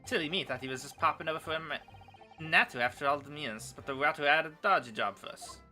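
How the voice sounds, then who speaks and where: A man's voice: on the high side, quick pace, pushed and bright, faint music behind. A man voicing a cheeky, chummy crook in a courtroom video game, full of slang.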